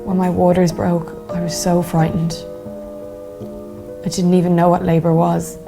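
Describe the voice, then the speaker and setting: Flat-toned, creaky, wronged teenage girl. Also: on the low side, a little slow. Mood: subdued, hurt. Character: frightened, wronged seventeen-year-old girl recalling home birth. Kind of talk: dramatised first-person testimony. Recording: music behind the voice.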